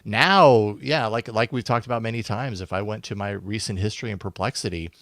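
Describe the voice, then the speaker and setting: A man's voice: on the low side, brisk, fairly bright tone, dry recording, clean studio sound. A man talking casually and thoughtfully as a podcast co-host, rambling a little as he works through his ideas. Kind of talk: casual conversational tech podcast talk.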